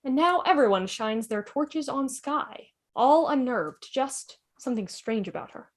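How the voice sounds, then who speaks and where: A woman's voice: sits high, lively intonation, a little slow. A woman narrator reading stage directions for a drama script. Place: online video call.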